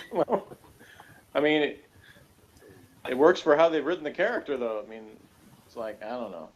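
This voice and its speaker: A man's voice: on the high side, animated, normal speed, fairly bright tone, a little hiss or hum. A man chatting casually and critically, giving blunt opinions as a reviewer of a TV episode.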